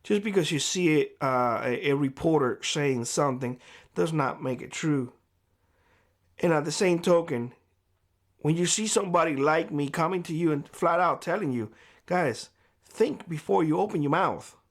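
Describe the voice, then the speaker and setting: A man with a fairly high voice talks straight to camera in a vlog. His voice is hoarse, as if he is losing it. His advice is blunt and lecturing. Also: moderate pace. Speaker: prepper YouTuber. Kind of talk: direct-to-camera vlog monologue. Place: homestead.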